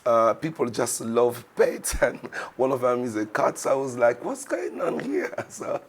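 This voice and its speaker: A man from Congo talks slowly and reflectively in a middle-pitched voice. He sounds gently amused at his own memories. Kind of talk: reflective interview.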